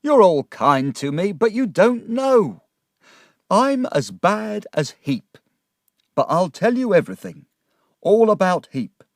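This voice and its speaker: Man playing a guilt-ridden older gentleman, impassioned, high and loud, very animated, storybook drama. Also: takes it slowly, vocal fry, hardly any pauses, no echo, clean studio sound, full character voice. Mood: despairing outburst. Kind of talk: dramatised audiobook reading.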